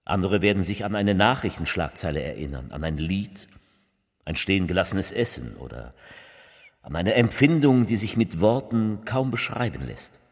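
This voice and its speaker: A solemn political orator in a stage-like spoken drama, with a husky voice that swings widely in pitch. He declaims deliberately, and the sound rings with long hall reverb. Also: animated, full character voice. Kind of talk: theatrical recitation of collaged speeches.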